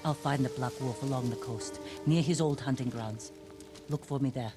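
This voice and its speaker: A woman playing a grim, wounded thief bent on revenge in a dramatic video game, terse and weighty, with a low voice. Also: normal speed. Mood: grim, guarded. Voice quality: low-pitched voice. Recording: music behind the voice.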